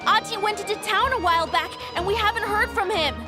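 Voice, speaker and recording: A woman's voice: extremely high pitch, fairly quick, loud music behind the voice. A woman voicing a worried small child pleading for help in a video game.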